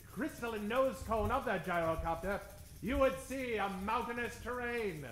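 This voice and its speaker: An actor playing a grand old-time radio announcer, narrating a serial in florid, dramatic style. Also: male voice, very high-pitched, fairly bright tone, exaggerated voice. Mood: smooth, suspenseful. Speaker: narrator and host. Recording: roomy stage recording.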